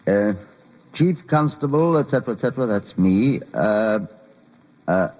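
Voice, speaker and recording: An actor playing a brisk, insistent British chief constable in an old-time radio crime drama, clipped and official. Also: male voice, lowish voice, lively intonation, a little mellow. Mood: flustered, official. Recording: muffled vintage radio recording.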